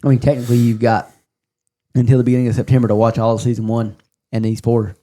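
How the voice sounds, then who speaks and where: A man's voice: mid-range voice, flat delivery, quick pace, warm tone. A man hosting a podcast in a casual, conversational style. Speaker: podcast host. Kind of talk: casual chat.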